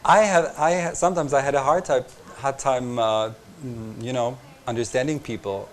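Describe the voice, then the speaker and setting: A young man from Austria with an Austrian accent, a native German speaker, chatting as a guest in halting English full of fillers. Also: mid-range voice, fairly even intonation. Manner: halting, searching for words, many fillers. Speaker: visiting reporter, guest. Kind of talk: casual interview. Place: tv station room.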